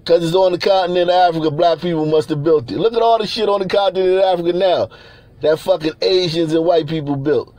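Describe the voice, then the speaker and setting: A man's voice: sits high, fast, darkish tone, no echo. A man from Nigeria giving blunt, profane, repetitive commentary over pictures, often laughing between points.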